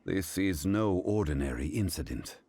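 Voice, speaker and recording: An actor playing a composed, learned gentleman in an English video game dub, speaking formally, gravely and with measured care. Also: deep voice, animated, slight background noise.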